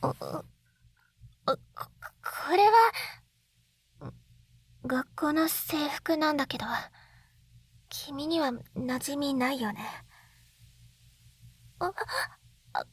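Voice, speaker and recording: A woman playing a shy, lovestruck schoolgirl in an intimate ASMR roleplay, speaking breathily and haltingly, close to a binaural microphone. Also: high voice, lively intonation, full character voice. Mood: flustered, earnest. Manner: breathy, halting, often dropping into whispers. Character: lovestruck schoolgirl reunited from another world, shy and tender. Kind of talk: Japanese ASMR roleplay, intimate situation voice acting. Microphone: close binaural dummy-head microphone.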